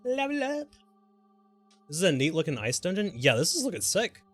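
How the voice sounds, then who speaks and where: A man live-streaming a game with casual, exclamatory commentary, reacting out loud and swearing freely. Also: sits high, huge pitch swings, moderate pace, no echo, soft background music.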